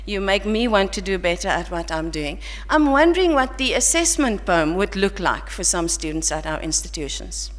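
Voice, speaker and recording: A woman's voice: medium pitch, very animated, moderate pace, few pauses. A South African woman giving an earnest, reflective academic conference talk at a podium mic, with some restarts. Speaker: conference panel speaker. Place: large conference hall. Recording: long hall reverb.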